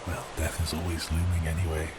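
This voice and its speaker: A man's voice: rumbling low, level tone, fairly quick, loud music behind the voice. A man playing a giant cursed sea beast prince in an ASMR roleplay, theatrical, melancholy and exasperated. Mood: exasperated, bemused.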